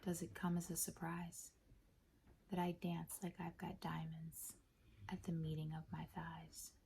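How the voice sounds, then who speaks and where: Slow, soft half-whispering ASMR woman. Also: low voice, vocal fry, a little hiss or hum. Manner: gentle, measured, hushed. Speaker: ASMR host. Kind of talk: soft-spoken ASMR poetry reading.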